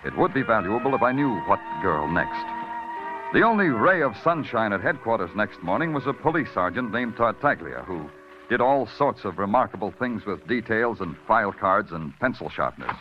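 A man's voice: on the low side, very animated, moderate pace. An actor playing a terse, hard-boiled male police detective who narrates in 1940s radio drama style. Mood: grim. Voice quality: brooding, clipped delivery. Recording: dull old radio recording with music.

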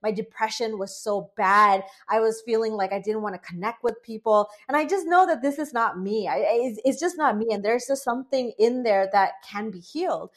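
A woman's voice: fairly high pitch, brisk, few pauses. A woman from Bangladesh in her late twenties or thirties, a breathwork facilitator talking earnestly and chattily as an interview guest. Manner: earnest, chatty, many "like" fillers, animated quoted asides. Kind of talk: conversational interview.

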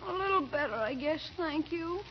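A wheedling American schoolboy in a vintage radio comedy. The voice is extremely high and whiny. The old recording is muffled and clearly noisy. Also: female voice, animated, normal speed. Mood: meek, feigned weakness. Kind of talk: 1940s-50s radio comedy acting.

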